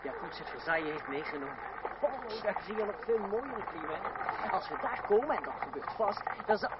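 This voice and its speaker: An actor playing a bold, self-assured young lover in a radio play, with a brisk theatrical delivery. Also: male voice, sits high, expressive. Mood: excited. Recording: dull, noisy old radio recording, distant.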